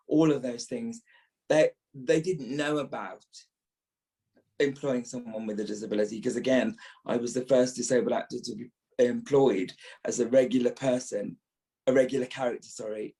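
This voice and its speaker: A man's voice: medium pitch, mellow, rough recording. A British man in his early fifties with a trained actor's voice, reminiscing as a guest in a podcast interview.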